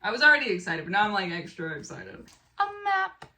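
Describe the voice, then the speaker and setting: A woman vlogger chatting casually and excitedly to the camera, animated and rambling with expressive asides. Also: medium pitch, brisk. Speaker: book haul vlogger and fiction author.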